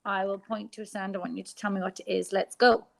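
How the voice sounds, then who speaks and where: An Irish woman teacher giving a phonics lesson to young children, sounding out letters slowly and clearly. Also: mid-range voice, flat delivery. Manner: slow, exaggerated sounding out of letters.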